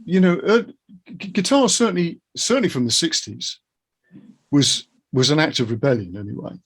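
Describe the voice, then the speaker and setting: A middle-aged man chats in a casual interview with a husky voice and plenty of gravelly creak. He is reflective and earnest, setting out his opinions as he goes. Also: fairly high pitch, big pitch swings, normal speed.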